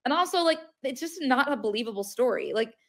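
A woman's voice: high voice, lively intonation, a little fast. A woman on a podcast panel, talking bluntly and dismissively over a video call, filling her speech with "like". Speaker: panel guest. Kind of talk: casual political podcast chat.

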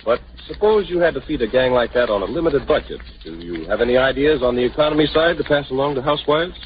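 An American man announcing and interviewing in the style of a 1930s radio sponsor pitch. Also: lively intonation, quick pace. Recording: tinny band-limited 1930s radio broadcast, music.

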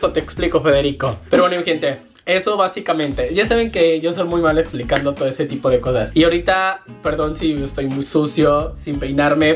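A young man from Jalisco with a Mexican accent, chatting casually and animatedly to camera from his bedroom, using slang and lots of gestures. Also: on the high side. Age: young adult. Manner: animated, lots of hand gestures, slangy, puts on quick voices for quoted people. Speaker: vlogger. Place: small bedroom, indoors. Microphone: fixed camera at desk.

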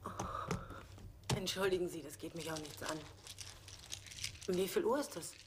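An actress playing a breezy young woman traveler who talks in a brisk, forthright way in a dubbed film. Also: on the low side, close to an everyday voice. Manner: brisk, forthright, quick to refuse. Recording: loud street traffic noise.

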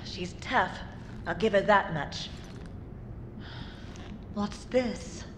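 An actress playing a tough, alert action heroine in a video game, firm and tense in delivery. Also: female voice, mid-range voice, extremely slow, noisy background. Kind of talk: dramatic video game cutscene acting. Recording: reverberant, music behind voice.

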